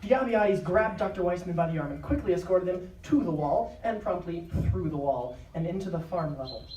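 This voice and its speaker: A woman's voice: fairly low pitch, animated, a little fast, dark tone. A woman narrating a scripted drama live. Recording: echoey live stage, distant, faint noise.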